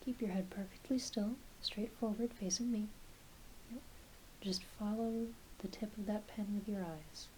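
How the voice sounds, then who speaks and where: A woman voicing a gentle, reassuring doctor in a soft, mostly whispered ASMR medical roleplay, murmuring encouragement. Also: medium pitch, moderate pace, slight background noise, lightly acted, rough recording. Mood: soothing, encouraging. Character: gentle, reassuring werewolf doctor giving an exam. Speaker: ASMR performer.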